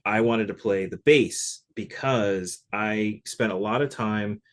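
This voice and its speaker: A man from Connecticut, a guest telling stories about his life in a relaxed, conversational way, with many casual fillers. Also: fairly low pitch, takes it slowly. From Connecticut, USA. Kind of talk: casual interview storytelling.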